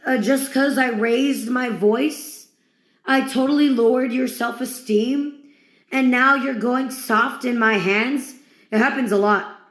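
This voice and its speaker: A woman with a fairly high voice performs a theatrical, taunting monologue. She speaks very slowly, with crude mockery aimed at a single listener. The recording is roomy and echoing. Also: fairly even intonation, fairly bright tone. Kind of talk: dramatic mocking monologue to one listener.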